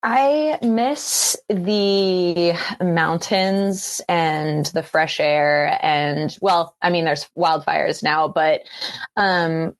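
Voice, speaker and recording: Low-voiced Canadian woman, earnest and thoughtful. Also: very slow, dry recording. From Western Canada. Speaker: guest, actor and filmmaker. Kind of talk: reflective interview talk.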